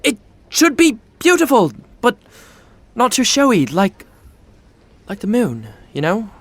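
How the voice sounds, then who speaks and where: An actor playing a lovestruck young royal fiancé in an audio romantic comedy, halting, earnest and easily flustered. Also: male voice, very high voice, huge pitch swings, no room sound, heavily acted. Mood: earnest, smitten. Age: young adult.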